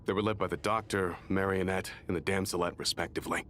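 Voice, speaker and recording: A man's voice: low voice, steady tone, fast talker, fairly bright tone, slight background noise. An actor playing a rattled, bitter enemy soldier in a video game, grudgingly telling what he knows. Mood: uneasy, confiding. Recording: music behind the voice. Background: game music.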